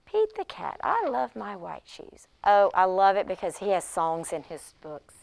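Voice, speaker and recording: A woman who teaches pre-K, demonstrating an interactive read-aloud in an expressive manner, as if speaking to young children. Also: medium pitch, gravelly, clean tone.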